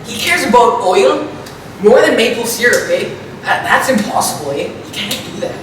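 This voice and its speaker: Guy putting on a goofy earnest canadian woodsman, high voice, far from the mic in a really echoey room. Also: animated, normal speed. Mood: mock complaining. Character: silly, earnest, whiny stereotypical Canadian backwoods man. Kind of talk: improvised comic character banter. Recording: very echoey, distant, some background noise.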